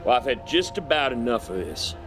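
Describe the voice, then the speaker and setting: Man playing a curt, menacing army general with swooping pitch. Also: very animated, fairly quick, background noise, natural voice. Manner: curt, threatening. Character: menacing, drunk-with-power male army general. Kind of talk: audio drama acting.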